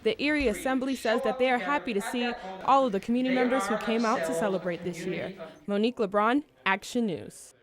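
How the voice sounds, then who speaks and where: A young woman reporter reading scripted local news stories with a crisp delivery and sign-offs. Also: fairly high pitch, fairly quick. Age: young adult.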